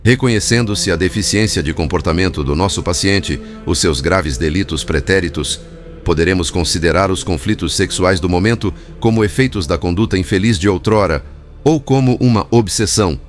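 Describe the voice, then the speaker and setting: Male audiobook narrator, low-pitched and measured, formal scripted reading with light music underneath.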